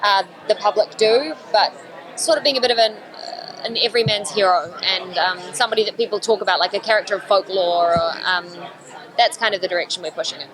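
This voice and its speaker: A woman actor answering press questions in a lively, chatty way, laughing often. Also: mid-range voice, a little fast. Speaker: actor being interviewed. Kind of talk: press room interview answers.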